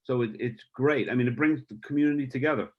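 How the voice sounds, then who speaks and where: A middle-aged man speaking as a guest on a video call, halting and heartfelt with many restarts. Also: medium pitch, narrow, tinny audio, a little hiss or hum.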